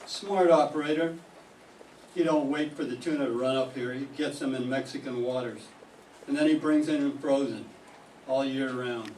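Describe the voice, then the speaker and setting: An older man playing a sullen, hard-drinking young male fisherman in a 1940s-style radio drama, gruff and defensive. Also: medium pitch, fairly even intonation, moderate pace, a little mellow, close to an everyday voice. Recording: roomy classroom from a distant mic, faint hiss.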